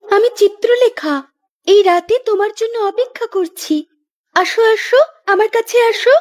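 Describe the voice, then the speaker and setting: Creepy ghost girl for a horror cartoon, super high n slow, coaxing and teasing. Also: darkish tone. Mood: coaxing menace. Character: eerie young female ghost luring men at night.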